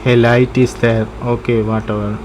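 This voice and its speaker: A woman's voice: rumbling low, level tone, moderate pace, darkish tone, a little hiss or hum. A woman streaming a game, giving short, casual muttered reactions as she plays.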